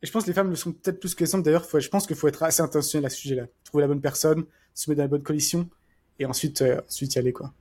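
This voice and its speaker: A man's voice: a little high, steady tone, very fast, darkish tone, husky. A man chatting casually as a podcast co-host, rambling with lots of fillers and restarts. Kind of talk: casual chat.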